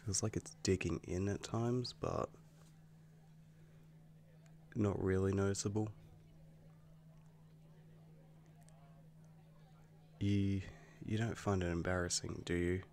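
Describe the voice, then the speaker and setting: A man playing an affectionate, protective, easily angered young werewolf boyfriend in an intimate ASMR roleplay, with a hesitant, halting delivery. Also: low voice, steady tone, a little mellow, a little hiss or hum. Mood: tender, musing. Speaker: roleplay audio performer.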